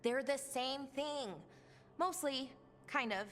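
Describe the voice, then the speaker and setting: An actress playing a gentle, anxious young companion in a video game, speaking in a halting, tentative way. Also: female voice, high-pitched, long reverb tail, slight background noise. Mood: hesitant, hopeful.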